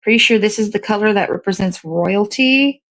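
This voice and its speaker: A woman's voice: mid-range voice, normal speed, noisy background. A woman hosting a casual hands-on cake decorating demo, talking to viewers and half to herself in short fragments as she works. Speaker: show host.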